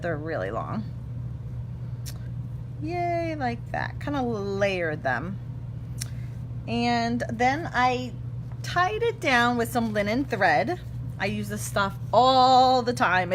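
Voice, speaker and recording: A woman in her thirties hosting a casual stamping craft demo, slightly hoarse, chatty and self-correcting, talking while she works at a table in her craft room. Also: medium pitch. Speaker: craft tutorial host. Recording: clear background hum.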